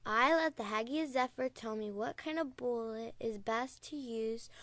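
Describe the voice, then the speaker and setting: A woman's voice: fairly high pitch, normal speed, a bit bright, no room sound, close to an everyday voice. A woman playing an eager, unsure young girl trainee with a wide-eyed, questioning way in an audio drama. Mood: curious eager.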